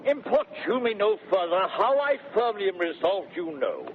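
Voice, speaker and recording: A man's voice: high voice, sing-song, normal speed. An actor playing a flustered, pleading old father in 1930s radio Shakespeare, with an emphatic, repetitive delivery. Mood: flustered, firm. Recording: scratchy, tinny 1930s radio broadcast.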